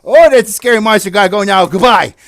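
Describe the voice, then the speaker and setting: Man as storybook puppet wizard, boastful, cowardly, halting, fairly high, dry sound. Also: a bit bright, dry recording. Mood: alarmed.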